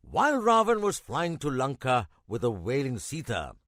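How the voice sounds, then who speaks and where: A man playing a kindly old grandfather in a children's cartoon, narrating a legend in a measured, expressive way with emphatic pauses. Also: mid-range voice, dry recording, studio quality. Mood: dramatic storytelling.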